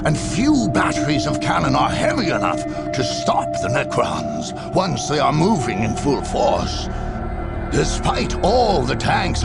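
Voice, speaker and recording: An actor playing a solemn, ominous chronicler, narrating a video game in portentous, measured phrases with a gravelly voice. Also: male voice, medium pitch. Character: ominous, solemn male chronicler of galactic war. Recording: loud music under the voice.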